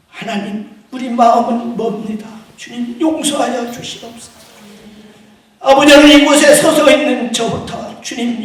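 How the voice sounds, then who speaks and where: High-voiced man praying in an echoing church. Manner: impassioned, keeps calling out to God, swinging from loud to hushed. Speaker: prayer leader at a church service. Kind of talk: fervent public prayer. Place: large echoey church hall. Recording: very echoey hall, heard from far off.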